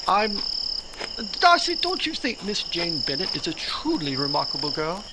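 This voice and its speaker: An actor playing an eager, bashful, lovestruck young gentleman in 1940s radio drama style. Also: sits high, huge pitch swings, moderate pace, heavy background noise, full character voice. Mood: bashful, hesitant.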